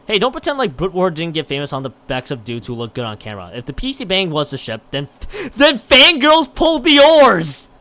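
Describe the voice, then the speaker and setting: Guy streaming a visual novel, kinda high voice, really up and down, stumbles when reading. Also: sing-song, fairly quick, few pauses, pushed and bright. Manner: stumbles and rereads lines while reading aloud. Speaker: live streamer. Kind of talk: livestream chat and reading a visual novel aloud.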